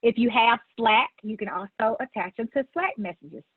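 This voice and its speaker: A woman's voice: a little high, normal speed, husky, no room sound. A woman panelist on a video call, friendly and chatty. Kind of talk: casual chat on a video call. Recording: tinny, dry video call line.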